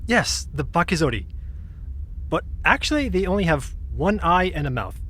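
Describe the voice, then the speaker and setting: A woman's voice: on the low side, very animated, normal speed, slight background noise. A woman playing an easygoing, slightly sheepish young woman in an audio drama, explaining spirit lore in a matter-of-fact, teasing way.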